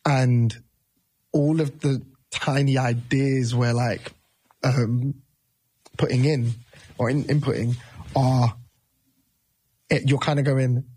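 Male musician guest, 30s, husky mellow voice, dry close sound, rambling casual delivery. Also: medium pitch, slow pace, pauses often, dry recording. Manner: relaxed, rambling, with many fillers and restarts. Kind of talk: casual interview talk.

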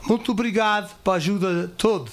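A third-generation Portuguese-American man with an American accent, a radio guest speaking Portuguese haltingly, searching for words and slipping into English, in a radio studio. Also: sits high, a little hiss or hum. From California, third-generation Portuguese-American. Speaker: festival committee guest. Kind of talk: radio interview.

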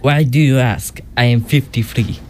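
An actor playing a gentle-hearted Russian man in his fifties, with a Russian accent and stiff broken English, in a radio comedy. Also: medium pitch, moderate pace, over music, natural voice. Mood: stiff, earnest. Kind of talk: comic radio play acting.

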